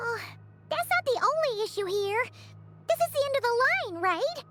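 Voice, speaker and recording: A woman's voice: very high voice, very animated, normal speed, fairly bright tone, soft background music, full character voice. A woman voicing a tiny floating fairy companion who asks fretful questions in a game cutscene. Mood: worried.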